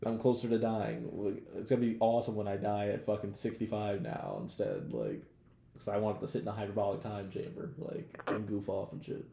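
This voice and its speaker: A man with a fairly low, gravelly voice in a roomy, echoey space. He talks as a podcast co-host in loose, slangy banter, swearing freely and padding his sentences with "like.". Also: lively intonation, brisk, dark tone, slight background noise. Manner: slangy, profane, laughs often, hedges with "like". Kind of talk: casual banter, would-you-rather questions.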